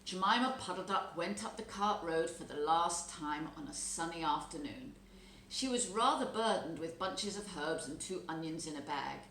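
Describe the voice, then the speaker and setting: Female storybook reader, slightly low voice, very expressive intonation, some background noise. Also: moderate pace. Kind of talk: expressive children's story narration. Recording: roomy echo, background noise.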